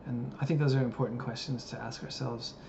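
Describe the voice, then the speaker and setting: Man, late thirties, reflective vlogger, hesitant fillers, dull lo-fi sound with steady background noise. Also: medium pitch, a little fast, creaky. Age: 30s. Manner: musing, hesitant, many fillers. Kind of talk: reflective personal monologue. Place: living in Japan.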